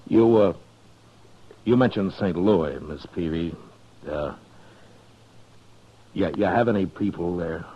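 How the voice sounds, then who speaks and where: An actor playing a calm, authoritative, weary frontier marshal with an American accent, in 1950s radio western style on a muffled old recording. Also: male voice, sits low, slow pace, pauses often, husky, heavily acted. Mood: firm, gentle.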